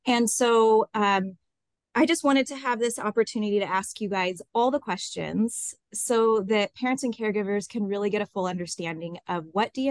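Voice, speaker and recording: A woman's voice: medium pitch, no echo. A woman facilitating a webinar, putting questions to guests in a conversational way. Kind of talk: conversational interviewing.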